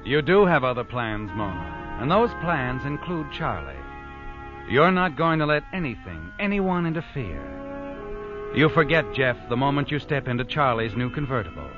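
An actor as a knowing, ominous storyteller of vintage radio suspense. His pitch sweeps dramatically as he needles and insinuates. Loud dramatic music plays behind him on a dull old recording. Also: sing-song, natural voice. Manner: dramatic, needling, deliberate phrasing. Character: knowing, ominous noir narrator addressing the culprit. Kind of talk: 1940s-50s radio suspense narration.

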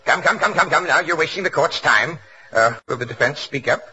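An actor playing a pompous, exasperated judge who stammers at times, in 1940s radio comedy style. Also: male voice, on the high side, a little fast, pushed and bright, breathy edge, exaggerated voice. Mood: impatient. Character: blustering, biased, self-important male courtroom judge. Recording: noisy, dull vintage 1940s radio recording.

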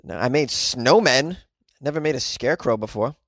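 A man hosting a casual comedy news commentary, reading stories aloud and riffing on them with goofy, excitable, slangy asides. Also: mid-range voice, moderate pace, dry recording.

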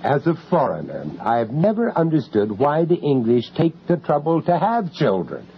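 Male narrator-lead for radio horror: cultured, uneasy foreign art dealer, hesitant, very lively intonation, muffled vintage sound. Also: on the high side, moderate pace, creaky, heavily acted. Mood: genial, chatty. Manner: hesitant, reflective, with chuckles in dialogue. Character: urbane, uneasy foreign art gallery owner in London, recounting horror. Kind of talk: first-person radio drama narration. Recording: muffled, noisy old radio drama recording.

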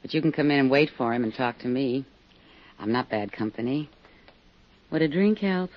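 A woman's voice: a bass voice, moderate pace. A woman playing a bored, boozy, guarded American wife in a 1950s radio drama. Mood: languid, flirtatious. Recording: dull, hissy vintage radio recording.

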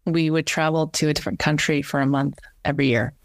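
A woman's voice: sits low, fairly even intonation, quick pace, dry recording, studio quality. A middle-aged woman, a cinematographer, talking casually as a podcast guest, restarting her sentences often. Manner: chatty, many restarts and fillers. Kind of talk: casual interview chat.

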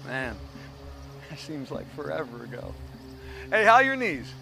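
A man playing a menacing hunter in an audio drama, weary and mockingly casual, with slow drawling taunts. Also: a little high, very animated, creaky, over music, full character voice, poor audio. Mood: weary grumbling.

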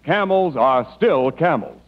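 A man delivering a polished, measured commercial pitch as a 1940s radio announcer. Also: animated. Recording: muffled 1940s radio broadcast.

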